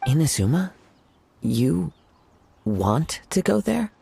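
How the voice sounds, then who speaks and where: An actor playing a calm, thoughtful young wandering swordsman in a video game, measured and reflective. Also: on the high side, gravelly, a little hiss or hum, full character voice. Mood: surprised. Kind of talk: English video game voice acting.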